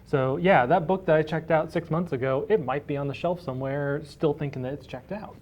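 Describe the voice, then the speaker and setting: A man's voice: a little high, brisk, a little mellow. A man being interviewed casually as a library director, explaining things through everyday hypothetical examples.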